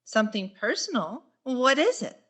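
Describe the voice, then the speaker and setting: A woman playing a wry, quick-witted schoolteacher in an old-time radio sitcom style, with dry sarcastic delivery. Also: on the high side, sing-song, a bit bright.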